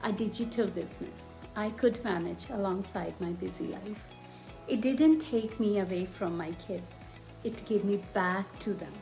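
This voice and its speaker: Mellow-voiced India-born woman. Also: mid-range voice, soft background music, poor audio. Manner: heartfelt, measured delivery. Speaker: former daycare teacher sharing a testimonial. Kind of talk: emotional personal testimonial.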